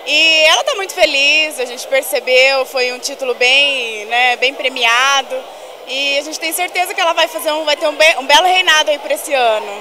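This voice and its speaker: Young woman, high voice, big crowd. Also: moderate pace. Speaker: contestant's sister. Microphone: handheld reporter mic.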